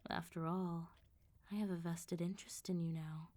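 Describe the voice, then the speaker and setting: A woman voicing a calm, flirtatious, quietly threatening female vampire mob boss in a soft ASMR roleplay. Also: fairly low pitch, normal speed, natural voice. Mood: teasing, controlling. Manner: controlled, smooth-talking, teasing, quietly threatening.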